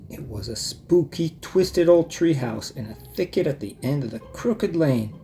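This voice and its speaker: A man narrating a children's storybook in an expressive storytelling manner. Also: fairly high pitch, unhurried, vocal fry. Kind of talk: children's read-aloud, scripted narration.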